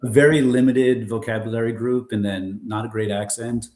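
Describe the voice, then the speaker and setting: A man's voice: mid-range voice, normal speed, roomy sound. An American man being interviewed, telling stories in a rambling, casual way with frequent restarts. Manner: rambling, restarts often, animated storytelling. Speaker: guest, former bar owner in Korea.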